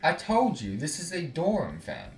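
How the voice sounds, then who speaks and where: A man's voice: on the high side, huge pitch swings, measured pace. A man reading a visual novel aloud as a gaming YouTuber, breaking off for slangy, sweary, incredulous asides. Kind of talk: visual novel read-aloud with casual commentary. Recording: roomy echo, distant mic, music behind. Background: music.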